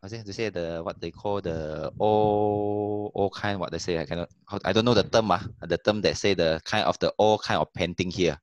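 A man giving a casual online talk about cave surveying, pausing often to search for words over a video call. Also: deep voice, dry recording, dull audio. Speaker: cave explorer presenting.

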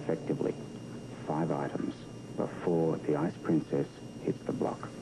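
Soap schemer, male, thirties: bottom-of-the-range gravelly voice, businesslike, impatient, cautious. Noisy VHS sound. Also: very deep voice, level tone, moderate pace, crowd noise. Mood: businesslike, instructing. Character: methodical male schemer planning a covert switch, impatient and cautious. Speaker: soap opera actor. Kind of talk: television soap opera dialogue. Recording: noisy worn VHS tape of television.

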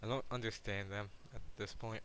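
A man's voice: lowish voice, fairly even intonation, brisk, fairly bright tone, smooth. A young man commenting casually and sarcastically while playing a video game. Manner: joking, sarcastic. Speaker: let's play gamer. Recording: faint background noise.

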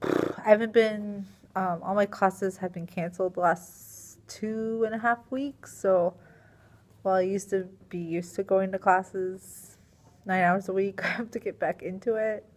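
A woman's voice: mid-range voice, fairly even intonation, a little slow, darkish tone. A young woman teacher, tired and a little strained in her voice, rambling casually into a laptop webcam in a small classroom. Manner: rambling, filler-heavy, sighing. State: tired and sleepy. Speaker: middle school science teacher. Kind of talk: casual video diary. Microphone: laptop webcam at desk.

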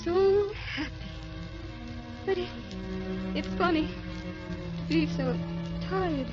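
A woman's voice: very high-pitched, extremely slow, exaggerated voice. An actress playing a tender, weary, ageless grandmother who speaks wistfully and repeats her phrases, in old radio drama style. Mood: dreamy, fading. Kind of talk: 1930s-40s radio drama acting. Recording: dull old radio recording with music.

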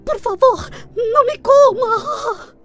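Tiny timid boy mouse, extremely high squeak, slow quavering pleading, children's cartoon. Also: fairly bright tone, soft background music, exaggerated voice. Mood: terrified, pleading. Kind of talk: children's cartoon fable acting.

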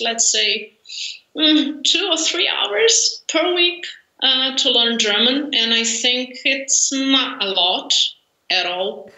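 A woman in her forties with a Brazilian Portuguese accent talks slowly on a video call, pausing often with fillers and laughing easily. Her voice is a little high and very bright. The webcam audio is roomy and echoey. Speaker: interview guest, language learner. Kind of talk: conversational interview. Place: home room, indoors.

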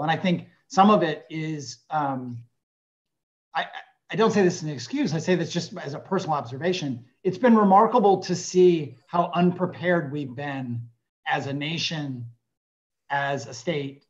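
Male interviewer, slightly dull call audio. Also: fairly high pitch. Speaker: interviewer, journalist. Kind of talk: conversational interviewing. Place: indoor room with bookshelves, video call.

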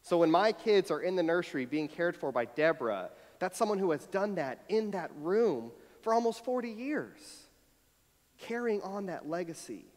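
A man's voice: high-pitched, very animated, moderate pace. An American man in his thirties, a pastor giving a conversational, personal sermon with a reflective, storytelling manner at a church pulpit. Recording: long hall reverb from church sanctuary.

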